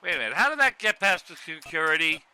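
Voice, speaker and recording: A man giving excitable, scripted play-by-play commentary on a fight. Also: fairly high pitch, quick pace, smooth, faint music behind.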